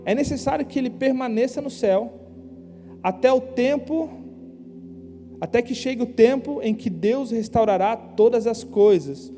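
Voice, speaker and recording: A young man preaching a casual youth sermon in a church, slangy and conversational, asking the crowd quick questions. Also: sits high, warm tone. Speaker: youth pastor. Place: church hall. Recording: live church hall with long reverb.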